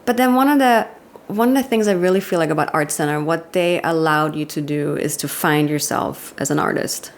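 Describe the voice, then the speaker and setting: Austrian-accented woman guest in her thirties, low gravelly voice, candid and quick to laugh. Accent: Austrian German. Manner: candid, laughs often, quick self-deprecating asides. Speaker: guest, commercial director. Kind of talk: casual interview chat.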